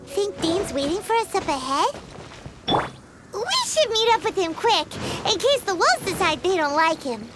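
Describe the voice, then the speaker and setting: A woman's voice: very high-pitched, very animated, moderate pace, very noisy. A woman voicing a tiny, chirpy floating girl companion who talks in an eager, chatty way, in a video game. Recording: game audio with loud music. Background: loud game music.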